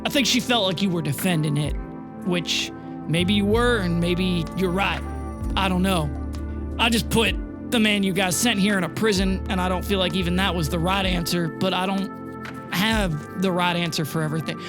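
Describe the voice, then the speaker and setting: A game master voicing a weary, conflicted man who runs a town, speaking in a halting, confiding way. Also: medium pitch, lightly acted. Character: Summer, weary, conflicted young man running a frontier town. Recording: music bed under the voice.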